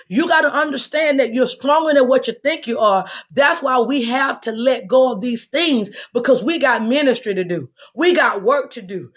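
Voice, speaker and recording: High-pitched woman preaching fervently. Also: husky. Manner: emphatic, exhorting, repetitive. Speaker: apostle leading a livestream prayer broadcast. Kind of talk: fervent charismatic sermon and prayer. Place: room at home, indoors.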